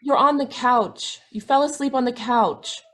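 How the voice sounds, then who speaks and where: A woman playing a gentle, anxious older wife, hesitant and pleading, in old-time radio drama style. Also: high voice, a little slow. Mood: worried pleading. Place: live video call performance. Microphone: video call microphone.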